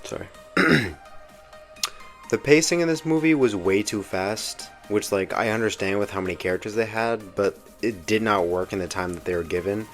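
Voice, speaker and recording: A man's voice: on the low side, normal speed. A young man giving casual, slangy movie commentary with the earnest enthusiasm of a fan. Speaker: video essay host reviewing a film. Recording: music behind the voice. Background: background music.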